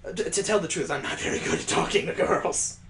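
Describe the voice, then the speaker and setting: A man voicing an awkward, nervous young man from a visual novel, babbling and stammering haltingly. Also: high voice, a bit bright, a little hiss or hum. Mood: flustered. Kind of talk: visual novel character reading. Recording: echoey, roomy sound.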